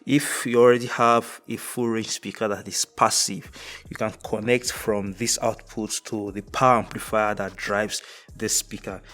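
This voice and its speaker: Low-voiced young man giving a tech tutorial. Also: level tone, normal speed, a bit bright. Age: young adult. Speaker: tutorial presenter. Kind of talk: instructional explanation of audio gear. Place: indoors, dark studio room.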